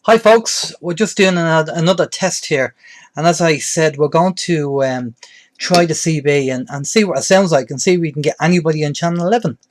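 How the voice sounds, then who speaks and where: A man with a slightly high voice presents a practical how-to guide. His delivery is plain-spoken and explanatory, like a host walking beginners through each step. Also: brisk. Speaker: presenter, radio tutorial host. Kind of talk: instructional tutorial narration.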